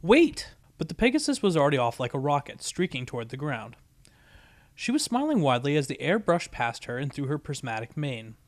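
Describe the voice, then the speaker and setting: A man's voice: medium pitch, very animated, brisk, dry recording. A man reading a story aloud as scripted narration. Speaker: story reader.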